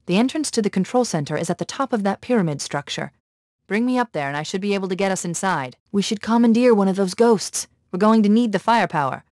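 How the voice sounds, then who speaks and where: Quick-witted military AI for a video game, played by a woman: precise, authoritative, mid-pitched, very dry recording. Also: a little mellow, no echo, close to an everyday voice. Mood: brisk, alert. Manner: crisp, precise, authoritative briefing. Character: quick-witted female military artificial intelligence, urgent, analytical.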